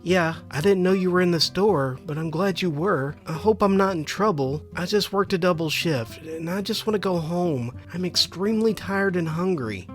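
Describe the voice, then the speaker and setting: A man's voice: high voice, moderate pace, hardly any pauses, faint music behind, natural voice. A man voicing a tired, polite young woman store worker who explains herself meekly in a story. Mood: tired, relieved.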